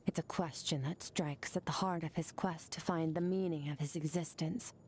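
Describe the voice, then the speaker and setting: An actress playing an aloof, stoic young swordswoman in a video game, speaking in a cool, measured, detached way. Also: low voice, steady tone, a little mellow, slight background noise, close to an everyday voice. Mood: solemn, musing. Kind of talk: scripted video game character monologue. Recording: game audio with music underneath.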